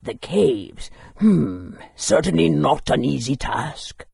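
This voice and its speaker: A bookish fantasy-game historian with a very husky, creaky voice set fairly high. He is courteous and scholarly, choosing his words with measured care while his melody moves up and down. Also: very animated, a little mellow, no echo, studio quality, exaggerated voice. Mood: helpful, cautionary.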